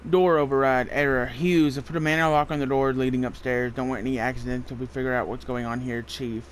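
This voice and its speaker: A man's voice: mid-range voice, level tone, fast talker. Casual male gamer, faint background noise. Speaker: gaming video host. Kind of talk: casual playthrough commentary, reading on-screen text aloud.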